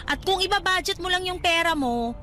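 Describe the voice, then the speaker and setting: A Filipino actress playing a nagging but sensible young cousin and co-worker in a radio drama, scolding and exasperated. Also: female voice, very high-pitched, expressive, fairly quick, sharp tone, exaggerated voice. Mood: earnest, cautioning. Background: music bed.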